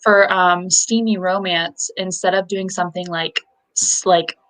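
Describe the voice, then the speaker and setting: A woman's voice: lowish voice, fairly even intonation, unhurried, a bit bright, rough recording. A young woman in casual chat as co-host of a book livestream, rambling with lots of likes and ums.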